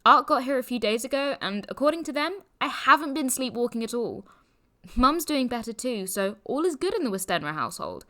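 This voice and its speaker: A woman's voice: on the high side, big pitch swings, fairly quick, pushed and bright. A woman acting in an audio drama as a playful, teasing, breezy young woman chatting to friends. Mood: breezy, reassuring. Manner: bubbly, teasing. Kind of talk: audio drama, podcast-style voice message.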